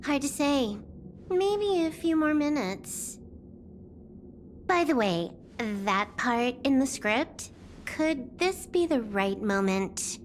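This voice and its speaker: High-pitched, offhand young hacker girl. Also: sing-song, takes it slowly, slight background noise, exaggerated voice. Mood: offhand, curious. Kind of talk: scripted video game voice acting.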